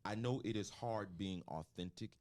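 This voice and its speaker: A man hosting a casual radio interview in a studio, talking loudly and effusively, close on the mic. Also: fairly low pitch, normal speed. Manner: loud, effusive, animated. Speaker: radio host.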